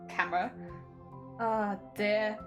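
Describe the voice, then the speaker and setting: A woman's voice: medium pitch, steady tone, takes it slowly, music in the background. A young woman chatting casually on a craft stream while sewing, rambling with lots of fillers and a slight winter cough.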